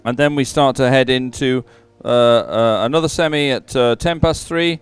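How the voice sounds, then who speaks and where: A man giving live sports commentary in a chatty, somewhat halting announcing style. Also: mid-range voice, smooth, no echo, soft background music.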